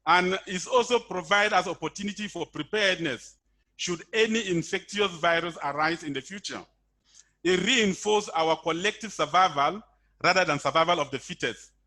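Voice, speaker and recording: Bright-voiced man presenting online. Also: high voice, moderate pace. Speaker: guest speaker, webinar presenter. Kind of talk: prepared presentation. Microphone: video call. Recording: online webinar call.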